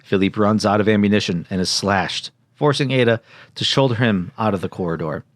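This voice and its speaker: A man's voice: lowish voice, a little fast, dry recording, clean studio sound. A man co-hosting a podcast, reading out a plot summary and dropping in joking asides. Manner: jokey, interjects and riffs. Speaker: podcast co-host.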